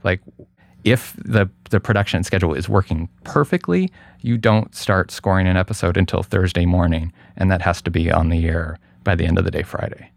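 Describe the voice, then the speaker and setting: A middle-aged man speaking as an interview guest in a casual, thoughtful, halting way, often hedging what he says. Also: low-pitched, vocal fry, dry recording, clean studio sound. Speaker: guest, radio producer.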